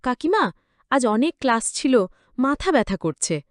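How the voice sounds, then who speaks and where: A woman's voice: high-pitched, sing-song, a little slow, dry recording, studio quality. A woman narrator voicing a respectful young male college student, a concerned nephew in an emotional story. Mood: concerned, curious.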